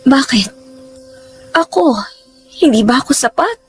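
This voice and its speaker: A woman's voice: high voice, lively intonation, measured pace. A woman acting an older girlfriend, lovelorn and gracious, in a radio drama. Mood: anxious, hurt. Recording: music bed under voice.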